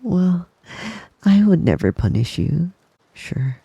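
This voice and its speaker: A man voicing a possessive, doting, menacingly devoted wolf boy lover in an intimate ASMR roleplay, breathy and husky, often slipping into a whisper, with a tender, teasing manner. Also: high-pitched, big pitch swings, dark tone, no room sound, exaggerated voice. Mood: teasing menace. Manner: slow, tender, teasing, giggly.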